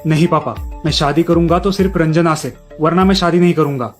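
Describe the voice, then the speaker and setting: A man's voice: on the high side, a little fast, soft background music, close to an everyday voice. A man voicing an earnest, determined young man in love in a cartoon story.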